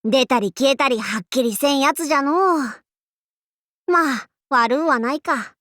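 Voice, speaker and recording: High-pitched, swaggering girlish demon-king warlord. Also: moderate pace, fairly bright tone, no room sound, studio quality. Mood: breezy, teasing. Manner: archaic lordly speech, haughty, abruptly switching to goofy. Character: boastful young female demon-king warlord, imperious yet playful. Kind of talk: Japanese anime game character voice lines.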